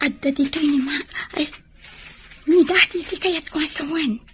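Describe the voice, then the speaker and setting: An actress playing a secretive, anxious young woman in an Ilocano radio drama, with a breathy voice. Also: high voice, steady tone, normal speed, slight background noise, natural voice. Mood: hushed, sweet.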